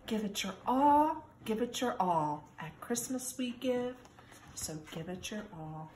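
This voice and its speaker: A woman in her forties, a teacher, reading a children's storybook aloud in an expressive, singsong way. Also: on the high side, slow. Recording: echoey room with background noise.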